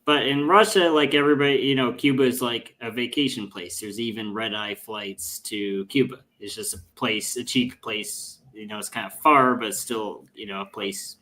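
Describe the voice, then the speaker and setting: A man's voice: mid-range voice, moderate pace, hardly any pauses, bright, forceful tone. A man in casual political discussion, rambling with many restarts and dropping his voice when quoting people. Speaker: podcast guest.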